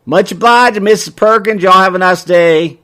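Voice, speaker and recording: A man's voice: sits high, normal speed, sharp tone, voice pushed up. A man voicing a cheery, folksy small-town storekeeper in a story, with an obliging sales patter. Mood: polite, brisk.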